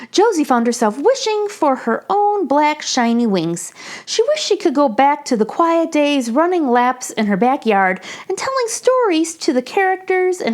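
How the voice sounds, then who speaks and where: A woman reading a children's picture book aloud in an animated storytelling manner. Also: high-pitched. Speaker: children's story reader, channel host.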